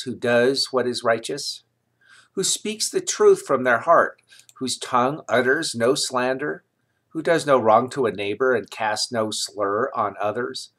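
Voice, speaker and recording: A man reading scripture aloud in a solemn, deliberate manner, with measured phrases and pauses. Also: mid-range voice, fairly bright tone. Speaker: scripture reader.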